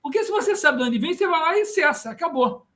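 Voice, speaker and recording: Brazilian-accented male podcast host with a very high voice, lively storyteller. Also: fast. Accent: Brazilian Portuguese. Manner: animated storyteller, asks himself rhetorical questions. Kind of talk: conversational podcast interview.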